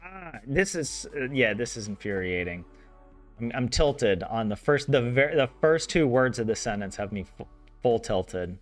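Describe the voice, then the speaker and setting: A man's voice: medium pitch, big pitch swings, measured pace, faint music behind. A man streaming a language study session, chatting casually, rambling with frequent laughs and restarts. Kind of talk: casual live-stream chat and translation.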